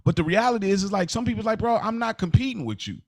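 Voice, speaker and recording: A man's voice: high voice, animated, fast. A man co-hosting a podcast in casual debate, making points emphatically and answering his own questions. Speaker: podcast co-host.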